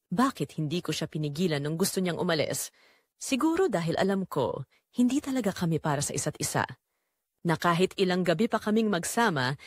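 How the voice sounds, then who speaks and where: Lively, brooding confessional storyteller voiced by a woman. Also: medium pitch, no echo, clean studio sound. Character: Jomar, brooding, guilt-torn man confessing a forbidden romance. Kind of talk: scripted first-person dramatic narration.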